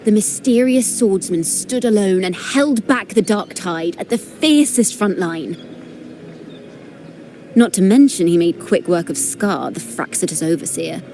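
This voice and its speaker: A woman's voice: medium pitch, lively intonation, measured pace, mellow, a little hiss or hum. An actress playing a young female companion who recounts events in a wry, conversational way in a video game.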